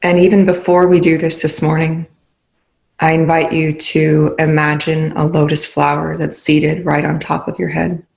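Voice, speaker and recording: A meditation guide leading a session in a soothing, unhurried manner with long pauses between phrases. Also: medium pitch, flat delivery, creaky, darkish tone, poor audio. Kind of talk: guided meditation, spoken slowly.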